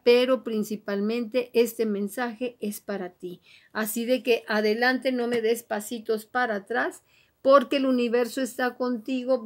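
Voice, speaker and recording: A woman card reader speaking straight to the listener in an encouraging, exhorting manner, repeating her urgings as she gives a motivational horoscope reading. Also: on the high side. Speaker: tarot and oracle card reader, host.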